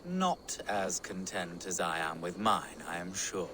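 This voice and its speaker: A male voice as a slow, deliberate game monk, full of self-righteous piety over buried fury. Clear ambience sits behind him. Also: deep voice, sing-song, bright, forceful tone, a little hiss or hum, heavily acted. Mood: smugly pious. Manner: pious, self-satisfied, slow. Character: sanctimonious convert monk with barely buried murderous rage. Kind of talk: video game cutscene acting.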